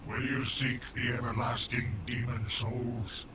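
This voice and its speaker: An ancient tree slug creature speaks in slow, solemn proclamations, voiced by a man in a video game. His voice sits in a middle register and drops to a whisper at times. The game audio is heavily covered by loud background noise. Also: mid-range voice, little pitch movement, natural voice.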